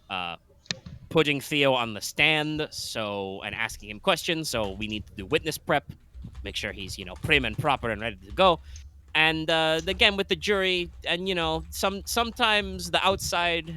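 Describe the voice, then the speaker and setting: A man's voice: fairly high pitch, expressive, normal speed, sharp tone, slight background noise. A man playing a suave, wry defense lawyer. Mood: persuasive. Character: suave male career defense lawyer in green suits.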